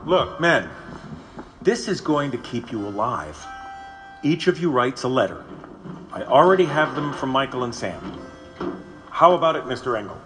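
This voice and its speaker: A man's voice: medium pitch, very animated, normal speed, long pauses, fairly bright tone. Frustrated advocate begging his men, really up and down, faint music, radio play. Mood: urgent pleading. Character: exasperated pleading advocate to condemned men. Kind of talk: audio drama acting.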